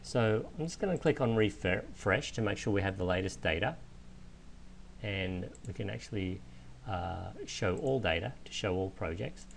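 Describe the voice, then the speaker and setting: Man, lowish pitch, software trainer, methodical screen tutorial narration, explanatory, audible background noise. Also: moderate pace. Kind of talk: instructional screen walkthrough narration. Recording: clear steady background noise.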